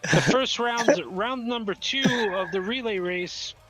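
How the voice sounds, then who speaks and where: A man hosting an online drone race, calling out pilots and teams in an unhurried way. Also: very high voice, over loud music, low-quality recording. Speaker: race director and host.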